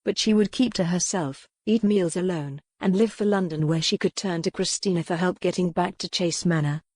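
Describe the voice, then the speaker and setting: A woman's voice: on the low side, normal speed, a little mellow, no room sound. A woman narrating a historical romance audiobook in a scripted storytelling style.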